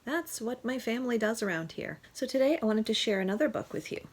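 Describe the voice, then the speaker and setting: A woman's voice: on the high side, animated, fast. A middle-aged woman, a pre-kindergarten teacher, talking to young children and reading a picture book aloud. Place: indoor room at home.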